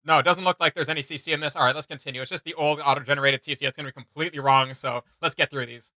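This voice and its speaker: A man gives casual, enthusiastic reaction commentary as a video host. His voice is very bright and sits a little high, recorded close and dry. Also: steady tone, very fast, dry recording.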